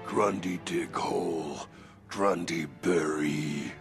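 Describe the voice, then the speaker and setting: An actor playing a hulking, dim-witted undead brute in a video game, growling slowly in broken childlike grammar with a gravelly roar. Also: male voice, sits low, steady tone, soft background music, full character voice. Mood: grim, obedient. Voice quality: gravelly, roaring.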